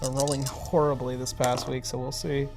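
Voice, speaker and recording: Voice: medium pitch, little pitch movement, moderate pace, over music. A player chatting casually during a tabletop role-playing game and describing their character's actions.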